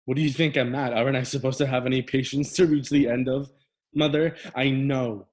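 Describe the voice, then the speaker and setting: A man playing a frustrated, rebellious young adult son in a stage play reading, exasperated, sarcastic and halting. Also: mid-range voice, steady tone, darkish tone, close to an everyday voice. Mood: exasperated, bitter.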